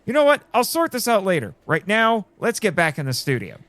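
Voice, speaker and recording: A man with a theatrical, excitable delivery, acting and narrating a scripted first-person drama. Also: high voice, a little fast. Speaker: host and narrator of a fiction podcast.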